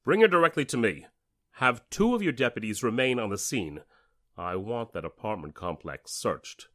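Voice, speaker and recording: An actor playing a ruthless official in an audio drama, giving clipped, authoritative orders. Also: male voice, medium pitch, expressive, normal speed, fairly bright tone, no room sound, studio quality, natural voice. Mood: stern, commanding.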